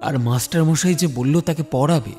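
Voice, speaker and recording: A man's voice: on the high side, moderate pace, warm tone. A man giving a dramatic scripted narration as the storyteller of a horror tale. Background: music bed.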